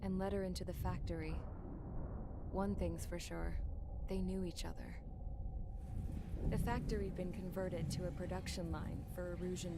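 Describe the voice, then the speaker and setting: A woman's voice: lowish voice, heavy background noise, lightly acted. An actress playing a blunt young female mechanic in a game cutscene, speaking in a casual, slangy, matter-of-fact way. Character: blunt, plain-spoken young female mechanic telling her story. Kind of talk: game cutscene dialogue and narration.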